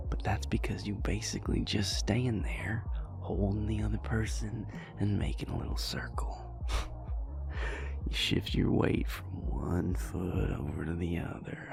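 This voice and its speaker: A man playing a dry, affectionate, stubborn vampire boyfriend in an intimate audio roleplay, speaking in a gravelly, breathy murmur with a teasing drawl. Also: sits low, heavily acted. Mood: dry, amused. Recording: loud music behind the voice. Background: club music.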